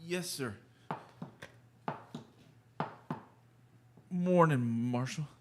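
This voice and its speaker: An actor in a Western radio drama playing a mild, timid, folksy little guitar player who stammers hesitantly. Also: male voice, on the high side, unhurried, fairly bright tone, a little hiss or hum. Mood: meek.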